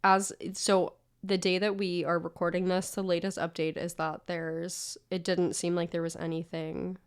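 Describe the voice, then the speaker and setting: A woman's voice: on the low side, little pitch movement, moderate pace, clean tone. A young woman co-hosting a podcast, nasal and congested from a cold, chatting casually with lots of "like" and restarts. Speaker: podcast co-host. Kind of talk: casual chat.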